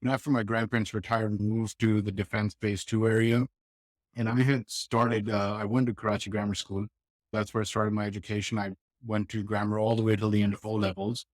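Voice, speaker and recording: A man in his thirties from Pakistan recounts his upbringing in a reflective, unhurried voice that sits fairly low. He circles back and restarts phrases as he remembers. The sound is dry and close, with no room around it. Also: no room sound. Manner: reflective, steady, with frequent restarts. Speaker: podcast guest. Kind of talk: casual interview storytelling.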